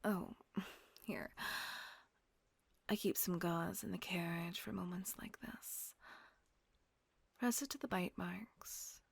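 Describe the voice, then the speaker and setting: Woman voicing a husky whispering vampire mistress. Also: lowish voice, steady tone, takes it slowly, long pauses, no room sound, full character voice. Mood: soft doting. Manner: soft breathy whispering, coaxing. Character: kind doting female vampire mistress, gentle and possessive. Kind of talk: audio roleplay.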